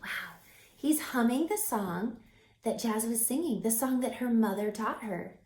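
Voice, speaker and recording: A woman reading a picture book aloud to young children, expressive and emphatic, addressing the little listeners directly. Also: a little high. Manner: asks the listeners questions, emphatic, drawn-out phrasing. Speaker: storyteller, children's book reader. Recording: echoey room.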